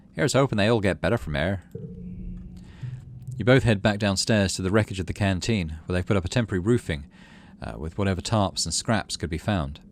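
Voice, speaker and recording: A man's voice: low-pitched, a little hiss or hum. A man hosting a gaming video, reading visual novel text aloud and adding casual commentary. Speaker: gaming channel host.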